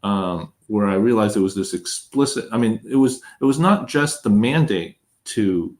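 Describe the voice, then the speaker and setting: A man speaks as a podcast guest in a somewhat low voice, thinking aloud as he goes. He talks hesitantly, filling his pauses with "you know" and "right" before he lands his points. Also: expressive, a little mellow. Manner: hesitant, filler-heavy, thoughtful. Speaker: interview guest, political candidate. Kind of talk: conversational interview.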